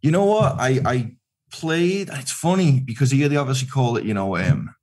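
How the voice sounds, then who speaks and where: A man's voice: mid-range voice, normal speed. A man in his forties from Liverpool, with a mixed Liverpool and American accent, chatting on a podcast video call from his kitchen, gesturing a lot. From Liverpool, England. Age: 40s. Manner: animated, gesturing with both hands. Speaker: podcast guest. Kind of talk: casual chat. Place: home kitchen, indoors. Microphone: video call with studio mic in frame.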